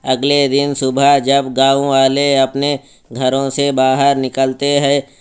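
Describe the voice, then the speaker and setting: A man's voice: mid-range voice, monotone, slow pace, clean tone. A man narrating a scripted horror story as its storyteller.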